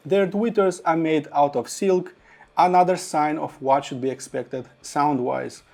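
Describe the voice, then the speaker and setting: A man's voice: fairly high pitch, darkish tone. A man reviewing audio gear to camera, speaking enthusiastically and piling up descriptive words. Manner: enthusiastic, piles up adjectives. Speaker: product reviewer.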